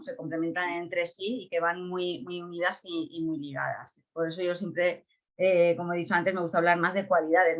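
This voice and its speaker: Low-voiced woman, hesitant panel guest. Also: highs cut off. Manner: hesitant, many fillers and "¿no?" tags. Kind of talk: casual panel discussion.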